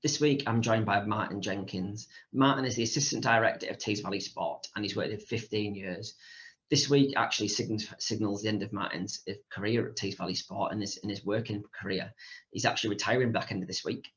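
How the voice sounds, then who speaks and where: A man hosting a podcast and interviewing a guest, brisk and often repeating words. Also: on the low side, fairly even intonation, a bit bright, lo-fi sound. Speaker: podcast host. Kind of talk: casual interview chat.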